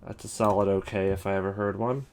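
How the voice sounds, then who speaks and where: A man's voice: sits low, steady tone, quick pace, darkish tone. A man giving casual, off-the-cuff commentary as the host of a ranking video.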